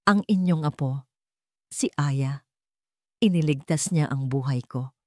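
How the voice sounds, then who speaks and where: A woman playing a powerful male billionaire in an audio story, soft-spoken and grave. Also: low-pitched, a little slow, dry recording, clean studio sound. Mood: soft, grateful.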